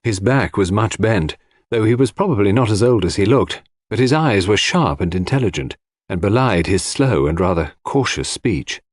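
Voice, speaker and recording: A man narrating a scripted audiobook story in an expressive storytelling style. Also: fairly low pitch, normal speed, dry recording, clean studio sound.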